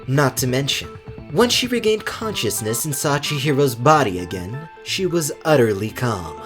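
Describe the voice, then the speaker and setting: A woman playing a cold, haughty teenage boy in an anime game fandub, measured, condescending and disdainful. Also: a bass voice, sing-song. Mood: cool, explanatory. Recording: loud music behind. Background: music.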